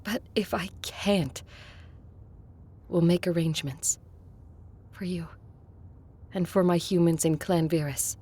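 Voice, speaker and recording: A woman playing a solemn, determined, centuries-old vampire leader in an audio drama, measured and earnest. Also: lowish voice, mellow, husky. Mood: grave, resolute. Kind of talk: dramatic acting with first-person narration.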